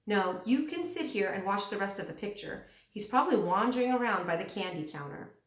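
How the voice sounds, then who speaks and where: A woman's voice: mid-range voice, expressive, fairly quick, lightly acted. Woman reading as a practical dad, roomy echo. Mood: firm. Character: easygoing, practical father of two boys. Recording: roomy echo, distant microphone.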